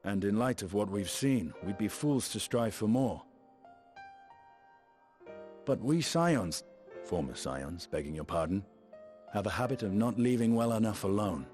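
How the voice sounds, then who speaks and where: A man's voice: lowish voice, lively intonation, a little slow, pauses often, darkish tone. A man playing a gallant, wry adventurer in a video game cutscene, with courtly, old-fashioned phrasing. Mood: wry, resolute. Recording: background music under the voice.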